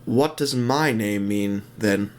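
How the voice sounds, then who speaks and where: A man playing a troubled young little steam engine in an audio drama, earnest, slow and hesitant. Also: on the low side.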